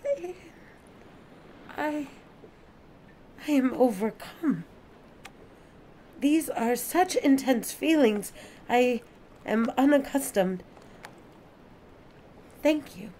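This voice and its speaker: A woman's voice: high-pitched, lively intonation, extremely slow, pauses often, warm tone, a little hiss or hum, exaggerated voice. A woman playing an earnest, curious, newly adult alien in an audio play, speaking in formal, precise, stilted sentences. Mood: overcome, grateful.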